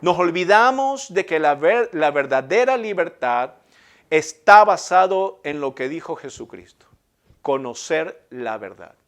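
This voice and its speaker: High-voiced Ecuadorian man preaching. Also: animated, measured pace, fairly bright tone. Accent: Ecuadorian Spanish, Guayaquil. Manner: emphatic, repetitive preaching with rhetorical questions. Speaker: pastor. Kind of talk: sermon.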